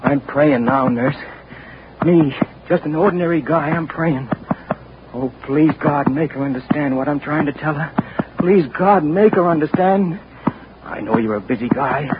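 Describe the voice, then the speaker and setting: A man's voice: a little high, a little slow, natural voice. A man playing a desperate trapped man, old radio. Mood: praying. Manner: pleading, urgent, talks to himself. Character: wounded man trapped in a silent body, desperate. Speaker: actor in a radio drama. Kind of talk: 1940s radio acting, inner monologue. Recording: muffled old radio recording with hiss.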